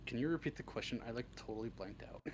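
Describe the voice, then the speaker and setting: A man chatting casually as an interview guest, halting and jokey, often laughing. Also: mid-range voice, animated, breathy edge, rough recording. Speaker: interview guest, script writer. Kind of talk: casual chat. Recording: faint background noise on live stream.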